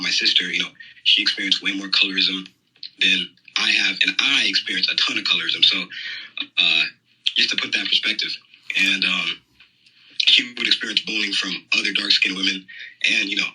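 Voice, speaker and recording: A man's voice: sits low, flat delivery, quick pace, pushed and bright, slightly rough, low-quality recording. A young American man calling in from his phone, talking hesitantly with many fillers. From United States. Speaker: caller. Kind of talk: phone-in conversation.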